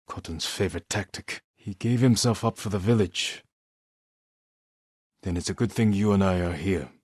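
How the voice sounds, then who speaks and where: A man playing a stoic, determined samurai warrior in a video game, with a husky, hushed voice and a terse, grave, measured delivery. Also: lowish voice, no echo, clean studio sound. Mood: stern, measured. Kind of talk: dramatic video game voice acting.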